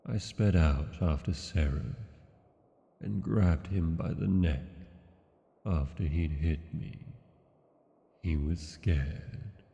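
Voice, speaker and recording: Male actor as haughty dragon king, fantasy audio roleplay, dark velvety low tone, muffled, long cavernous reverb. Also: creaky, full character voice. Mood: intense, brooding. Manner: slow, deliberate, pausing, haughty. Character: arrogant, brooding male dragon king reading his journal.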